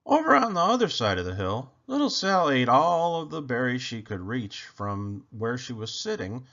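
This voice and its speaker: A man narrates a children's storybook with an expressive, animated delivery. His pitch is mid-range and moves up and down freely, with deliberate pauses. The recording is a little dull and lo-fi. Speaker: children's book reader. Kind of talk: scripted storybook narration, read aloud.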